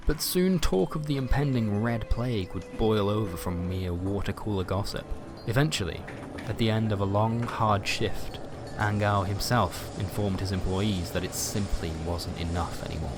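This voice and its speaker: A man narrating a scripted fantasy story in a measured, dramatic way with wry asides. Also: on the low side. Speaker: storyteller, narrator of a fiction podcast.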